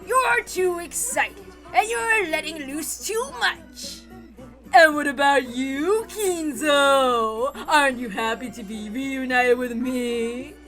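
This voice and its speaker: High, gleeful, taunting young witch. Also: fairly bright tone, soft background music. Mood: gleeful exultation. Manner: theatrical, drawn-out words, cackling laughter.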